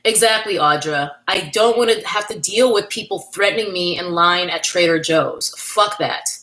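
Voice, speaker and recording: New york woman hosting a casual livestream, kinda low voice, reads out chat names and talks straight. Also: normal speed, a bit bright. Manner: chatty and candid, thanking viewers by name. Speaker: livestream host. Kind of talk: casual livestream chat reading viewer comments.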